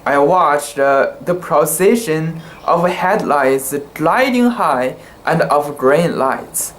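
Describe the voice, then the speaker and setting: Male amateur storyteller, non-native English speaker, slightly high pitch, very unhurried, careful and halting. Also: animated, a little hiss or hum. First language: not English. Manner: halting, careful delivery with occasional stumbles. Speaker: amateur reader. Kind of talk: dramatic reading of a sea story.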